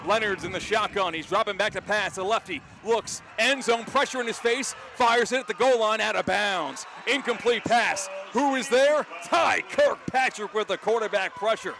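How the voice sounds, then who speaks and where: An American man doing live play-by-play radio commentary of high school football, excitable and ready to shout the big calls. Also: moderate pace, creaky, few pauses. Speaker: play-by-play announcer.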